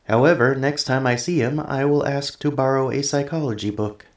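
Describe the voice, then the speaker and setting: A man's voice: medium pitch, moderate pace, reverberant. A man playing a polite, earnest android assistant in an ASMR roleplay, speaking formally and precisely through a robotic voice effect. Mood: thoughtful, puzzled. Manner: formal, precise, literal-minded phrasing. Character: polite, earnest male android tenant assistant, gentle and curious. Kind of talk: ASMR roleplay, scripted one-sided conversation.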